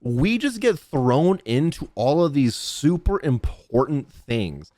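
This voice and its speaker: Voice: medium pitch, sing-song, takes it slowly, warm tone, no room sound. A streamer giving casual, playful and jokey gaming reaction commentary in slangy speech.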